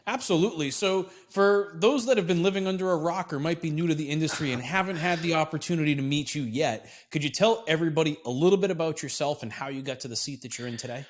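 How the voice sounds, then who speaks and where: A man hosts an interview show in a fairly high voice. He sounds upbeat and friendly, keen to draw his guest out. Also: quick pace, few pauses. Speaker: podcast host. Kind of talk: hosting an interview.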